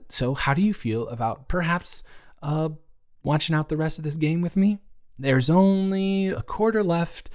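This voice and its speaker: Male roommate , played by a man, nervous and besotted. Medium pitch, stammering, rambling delivery for an intimate improvised romance audio. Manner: stammering, rambling, giddy, halting. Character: nervous, smitten male roommate confessing love. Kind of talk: improvised romantic roleplay audio, intimate one-sided conversation.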